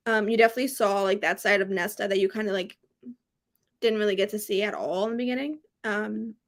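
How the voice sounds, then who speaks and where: A woman's voice: medium pitch, steady tone, fast talker. A woman in a casual book discussion, agreeing readily and adding short reactions in a conversational way.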